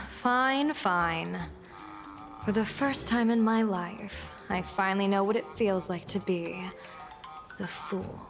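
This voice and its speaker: An actress voicing a composed, wry female general in a video game, with a measured, dry delivery. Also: medium pitch, vocal fry. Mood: exasperated. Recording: long reverb, music underneath.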